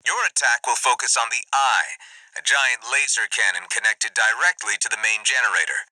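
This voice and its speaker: A man voicing a polite but scheming, ambitious corporate military officer in a video game, speaking with formal, crisp diction. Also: medium pitch, moderate pace, sharp tone, no echo, rough recording. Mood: formal briefing. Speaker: military liaison giving mission briefings.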